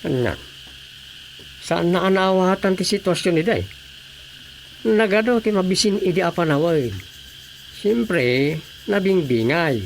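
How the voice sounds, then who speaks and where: Casting: challenging village official's associate, creaky voice, slow delivery, radio drama comedy. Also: female voice, lowish voice, animated, a little hiss or hum. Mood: reproving. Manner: questioning, challenging.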